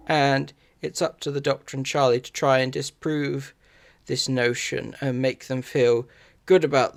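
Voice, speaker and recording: A man narrating a review in measured, somewhat halting phrases. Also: mid-range voice, gravelly.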